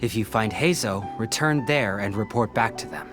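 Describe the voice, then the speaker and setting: An actor playing an earnest, polite young male detective's assistant in a video game, with an expressive, pleading manner that is easily exasperated. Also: lowish voice, normal speed, close to an everyday voice. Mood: earnest, explaining. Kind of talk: English video game voice acting. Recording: background music under the dialogue.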